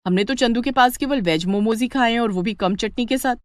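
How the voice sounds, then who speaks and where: A woman's voice: on the high side, a little fast, no echo, studio quality, natural voice. Woman voicing an eager, chatty young village woman. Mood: amazed. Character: lively, food-loving young village women friends.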